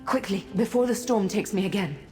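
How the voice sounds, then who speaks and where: An actress playing a disoriented, fragile goddess in a video game, speaking haltingly and cryptically in a pleading way. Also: female voice, mid-range voice, mellow, close to an everyday voice. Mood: haunted, pleading. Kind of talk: scripted video game character acting. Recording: long reverb, music behind.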